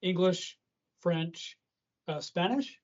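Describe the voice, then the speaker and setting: A man's voice: sits high, expressive, takes it slowly, a little mellow. A man from North America interviewing a guest, asking questions politely and thanking him for his time. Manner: polite, easygoing, winds down with thanks. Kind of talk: phone interview. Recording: dull lo-fi line.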